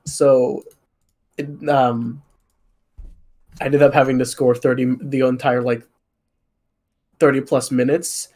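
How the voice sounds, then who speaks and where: A man's voice: mid-range voice, little pitch movement, mellow. Male composer on a panel, mid-range, unwell, informal, stumbling over his words. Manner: halting, fumbles for words. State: sick. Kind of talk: casual panel Q&A talk.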